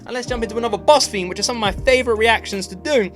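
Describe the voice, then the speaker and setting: A man hosting a reaction video, talking in a casual, chatty and emphatic way with excitable commentary. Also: very high-pitched, racing, music in the background. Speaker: reaction channel host.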